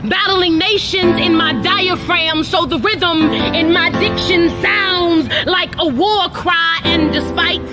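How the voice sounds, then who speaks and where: High, slow-spoken woman reciting poetry. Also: fairly even intonation. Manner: rhythmic, emphatic delivery. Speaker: spoken word poet. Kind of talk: spoken word poetry performance. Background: loud music.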